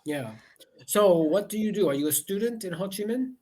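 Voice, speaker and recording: A man's voice: high voice, lively intonation, moderate pace, mellow. Patient man tutoring English. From United States. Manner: patient, often repeats okay and rephrases questions. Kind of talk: casual conversation lesson over video call.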